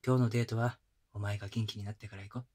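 A man playing a gentle, doting young boyfriend in an intimate ASMR audio drama, speaking casually with tender, coaxing chiding. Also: lowish voice, fairly even intonation, racing, natural voice. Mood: gently firm. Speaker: voice actor. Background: music.

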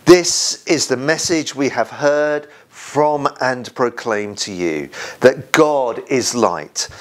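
A middle-aged man, leading prayer and reading scripture in a measured, deliberate way as a church minister. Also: medium pitch. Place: small home conservatory.

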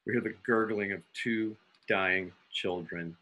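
A man's voice: fairly low pitch, fairly even intonation, measured pace, bright, forceful tone, rough recording. A man narrating a radio drama, reading stage directions and sound cues aloud over a video call. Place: room at home, on a video call.

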